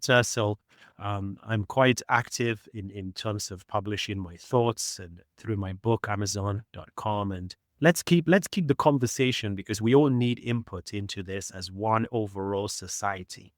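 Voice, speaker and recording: A man's voice: lowish voice, a little slow, no room sound, clean studio sound. A man speaking as a guest on a podcast, earnest and persuasive, often repeating phrases as he builds a point. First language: not English. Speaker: guest author. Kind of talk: podcast conversation.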